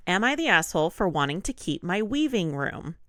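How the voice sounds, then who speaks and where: A woman with a slightly low, congested voice reads a post aloud for a podcast in an easygoing, chatty way. She sounds a little nasal, and the recording is dry and close. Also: a little fast, no echo. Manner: relaxed, laughs often. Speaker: podcast co-host. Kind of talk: casual chat, reading a post aloud.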